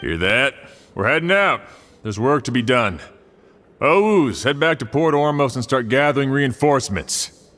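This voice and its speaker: An actor playing a brisk, commanding male officer in a fantasy video game. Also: medium pitch, lively intonation, fairly bright tone, in a large hall. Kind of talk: scripted video game voice acting.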